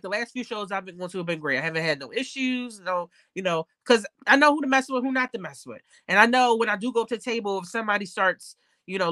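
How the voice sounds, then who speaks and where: A woman's voice: medium pitch, bright, forceful tone, no echo. Candid, slangy woman, slightly stuffy, close dry sound. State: stuffed-up nose. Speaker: podcast guest, card collector. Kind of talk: casual interview chat.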